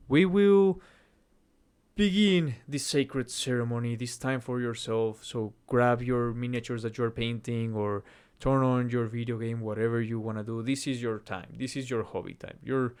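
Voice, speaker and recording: A man with a Mexican accent talks in a middle-pitched voice, earnest and reflective. He pauses to fix his own words and mixes in self-deprecating humor. It sounds like an unhurried conversation with his channel's viewers. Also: a little mellow, clear, resonant tone. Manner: stops to correct his own wording. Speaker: channel host. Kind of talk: conversational video essay.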